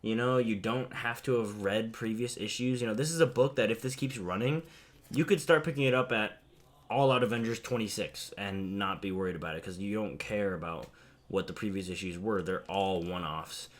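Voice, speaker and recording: A man hosting a casual comic haul video, a bit hoarse and low energy from a head cold, rambling with lots of fillers. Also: moderate pace. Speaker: comic book channel host.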